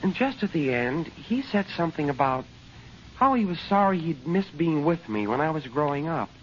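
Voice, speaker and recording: An actor playing an earnest, sensitive young son in an old-time radio drama. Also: male voice, fairly high pitch, lively intonation, normal speed, lightly acted. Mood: wistful. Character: earnest, sensitive young son worried about his father. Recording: muffled old radio recording, faint noise.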